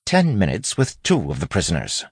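A man voicing a cocky, brash lawman who talks in a forceful, folksy, bullying way, as in an audiobook. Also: deep voice, huge pitch swings, moderate pace, breathy edge, dry recording, pitched above the performer's own voice, heavily acted. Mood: brash, firm. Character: cocky, brash lawman bluffing in a stern constable voice. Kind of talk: audiobook character acting.

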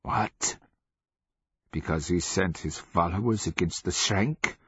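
A man voicing a fervent old slave in a fantasy audiobook, with a thick rasp and barked, doom-laden pronouncements. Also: low voice, a bit bright, no room sound, dull audio, full character voice. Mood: fierce, scornful. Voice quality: rasping, thick voice. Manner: barking, drawn-out pronouncements. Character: fervent old male slave, zealous doomsaying fanatic. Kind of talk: fantasy audiobook character acting.

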